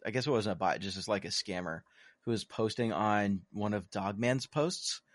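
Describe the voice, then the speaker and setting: Deep-voiced joking podcast co-host man. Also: moderate pace, dry recording, clean studio sound. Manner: rambling, self-deprecating joker. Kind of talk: casual chat.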